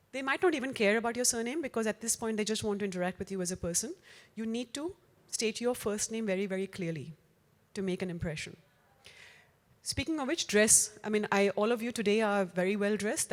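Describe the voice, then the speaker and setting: A woman's voice: mid-range voice, big-room sound. A woman with an Indian accent giving a live talk to an audience, direct and coaching, slipping into Hindi phrases. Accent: Indian, with Hindi mixed in. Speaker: workshop speaker. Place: large room before a live audience.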